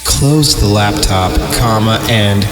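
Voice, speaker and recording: A man's voice: fairly low pitch, takes it slowly. A man hosting a horror podcast, reading stories aloud and breaking off for sarcastic, improvised jokes, with music behind him. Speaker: podcast host and reader. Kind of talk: creepypasta reading with joking asides.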